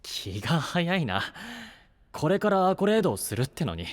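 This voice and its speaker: Brash, earnest young knight, very expressive. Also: male voice, on the high side, normal speed. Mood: calm, wry. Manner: blunt, casual rough masculine speech. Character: brash, earnest young male knight-to-be, rough-spoken but devoted. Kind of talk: anime-style voice drama acting.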